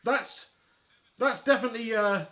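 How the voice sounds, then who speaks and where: A man giving chatty, excitable live commentary on a boxing match and talking straight to his viewers. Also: very high-pitched, moderate pace. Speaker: livestream fight commentator.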